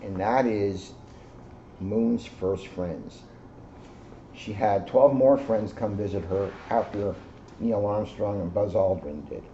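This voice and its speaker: A man in his sixties, a police officer reading aloud to children and commenting informally in an earnest, expressive way. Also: on the low side, takes it slowly. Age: 60s. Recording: muffled, noisy amateur video sound.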